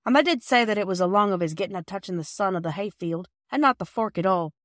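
A woman's voice: mid-range voice, animated, fast talker, fairly bright tone, no room sound, clean studio sound, close to an everyday voice. A woman voicing a kindly, chatty old male railway porter who speaks in rustic, folksy phrases.

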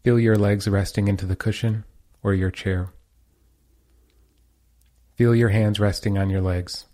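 A man leading a guided meditation as a teacher, giving calm, soothing instructions. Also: fairly low pitch, a little slow.

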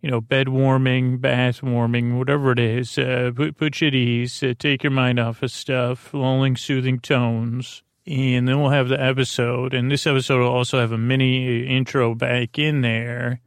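Male bedtime podcast host: gravelly, flat-pitched, lulling, with meandering hesitant introductions. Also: normal speed, few pauses, no room sound. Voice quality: creaky. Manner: meandering, hesitant, lulling delivery with self-corrections.

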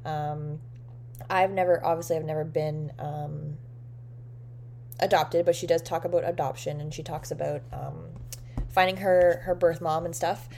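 Chatty Canadian woman, gravelly low voice. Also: steady tone, moderate pace, darkish tone, a little hiss or hum. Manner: chatty, upbeat, many fillers. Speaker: podcast host. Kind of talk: casual interview chat.

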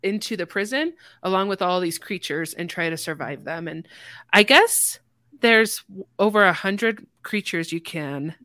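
Bright-voiced woman hosting a casual chat. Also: fairly low pitch, unhurried, no room sound. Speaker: podcast host.

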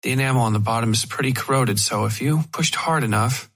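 A man voicing a caring, professional woman in an audio horror story, speaking with measured, placating concern. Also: medium pitch, fairly bright tone. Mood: concerned, clinical.

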